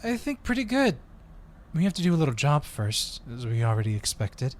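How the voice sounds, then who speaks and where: A man's voice: fairly high pitch, sing-song, fairly quick. A man playing an eager, friendly undercover agent in an audio roleplay, talking in a polite, chatty way. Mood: earnest, polite.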